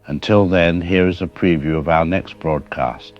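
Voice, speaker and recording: A man reading a slow, grave scripted outro as the series announcer. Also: low voice, fairly even intonation, muffled recording.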